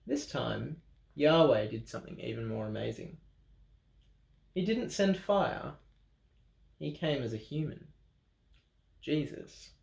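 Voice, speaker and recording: A man reading a children's Bible story aloud in an animated way, with dramatic pauses, expressive emphasis and playful asides. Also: medium pitch, creaky. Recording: roomy, echoing room sound.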